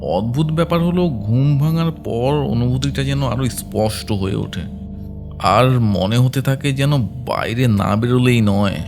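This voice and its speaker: A man's voice: medium pitch, a little slow, gravelly, music in the background. A man narrating a scripted first-person horror story.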